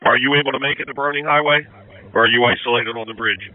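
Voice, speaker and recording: A man's voice: medium pitch, quick pace, dry recording. A man acting as fire incident commander, giving procedural instructions over emergency radio. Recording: muffled radio with steady noise.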